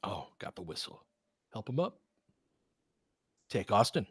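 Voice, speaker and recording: Animated man talking basketball, dry close sound. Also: lowish voice, unhurried, breathy edge, dry recording. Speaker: sports show host. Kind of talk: casual live game commentary and discussion.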